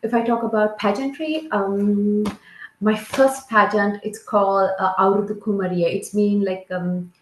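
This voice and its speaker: Slow-speaking woman from Sri Lanka, echoey call. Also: mid-range voice, fairly even intonation, slight background noise. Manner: slow, searching, with non-native grammar. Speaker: guest. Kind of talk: casual interview answers.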